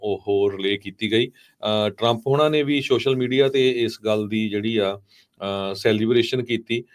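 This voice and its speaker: A man presenting live radio news, relaying reports phrase by phrase with pauses and English terms mixed in. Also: on the low side.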